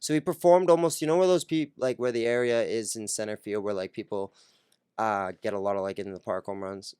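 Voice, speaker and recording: A man chatting casually on a podcast, laid-back, halting and full of fillers and swearing. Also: on the low side, steady tone, darkish tone, clear, resonant tone, no echo, studio quality. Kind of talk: casual chat.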